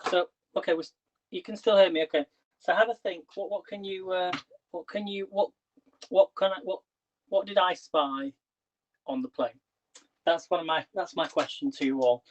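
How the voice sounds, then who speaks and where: A slightly high-voiced man chats casually to viewers while tidying his kitchen, his voice wearing thin. He rambles and restarts his questions. The sound is dull and lo-fi, like livestream audio. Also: moderate pace, long pauses, slight background noise. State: losing his voice. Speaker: livestream host. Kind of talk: casual live-stream chat.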